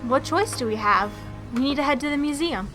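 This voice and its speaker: A woman plays a confident young crime-fighting heroine in a radio drama. Her voice is high and crisp-edged with brightness, and her delivery is brisk and matter-of-fact over music. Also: lively intonation. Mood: focused. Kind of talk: radio drama acting and scripted narration.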